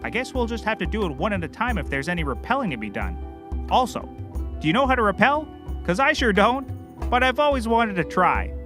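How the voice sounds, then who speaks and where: High, eager, bumbling detective. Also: male voice, big pitch swings, a little fast, over music. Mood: busy planning. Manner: chatty, earnest, naively confident. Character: bumbling, eager, clueless male private investigator. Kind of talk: scripted comedy audio drama.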